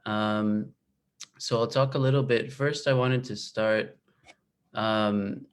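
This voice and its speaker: A man in his twenties from Israel, a native Hebrew speaker, talking about his book on a video call with many pauses and fillers. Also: on the low side, steady tone, clear, resonant tone. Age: 20s. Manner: hesitant, many fillers and restarts. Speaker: guest author.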